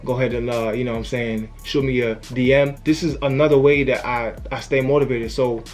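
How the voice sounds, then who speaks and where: A man's voice: medium pitch, steady tone, brisk, faint music behind. A young man giving an earnest, casual motivational talk to camera as a YouTuber. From family from Haiti, raised in the US. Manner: earnest, conversational, frequent "you know what I'm saying".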